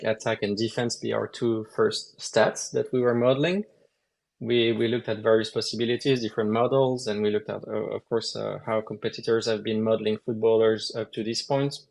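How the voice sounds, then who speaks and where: A man, a lead game designer, explaining game mechanics at a measured pace with frequent hesitations in a live Q&A. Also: on the low side, level tone, creaky, a little mellow.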